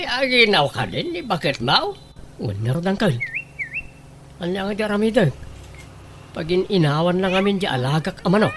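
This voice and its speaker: A man's voice: high voice, background noise, heavily acted. A man playing an exasperated but doting husband who fusses and protests over his precious rooster, in a comedic radio drama. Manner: fussy, questioning, protesting.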